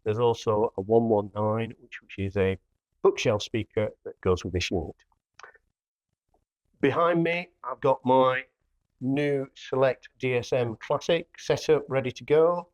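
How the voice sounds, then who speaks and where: A man's voice: medium pitch, unhurried, dry recording, lo-fi sound. A man giving a casual product demonstration as a hi-fi dealer, plainly explaining each step as he works. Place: indoor hi-fi showroom.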